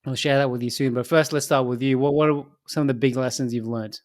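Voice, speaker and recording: A young man co-hosting a casual podcast who speaks English as a second language, thinking aloud with pauses and restarts. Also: medium pitch, fairly even intonation. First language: not English. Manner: thoughtful, halting, laughs easily. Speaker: podcast co-host.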